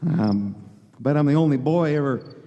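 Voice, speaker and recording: A man plays an eager, awkward young small-town fellow in old-time radio drama. His voice sits a bit high and he stumbles bashfully over his words. A hall's long echo surrounds him. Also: lively intonation, warm tone, lo-fi sound. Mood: smitten, awkward. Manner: stammering, halting, folksy. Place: live performance in a hall. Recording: echoey hall, music bed behind.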